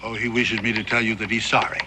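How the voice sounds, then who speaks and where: A man's voice: on the low side, flat delivery, fast talker, bright, forceful tone, slight background noise. An actor playing a folksy frontier showman in a TV western, spinning tall tales in a grandiloquent, playful way. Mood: genial, sly. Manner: grandiloquent storyteller. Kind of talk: TV western acting.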